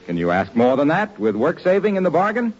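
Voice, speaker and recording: A man's voice: on the high side, wildly swooping pitch, a little fast. A man working as a 1940s radio announcer, delivering polished, emphatic sales copy. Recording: dull 1940s radio recording, faint hiss.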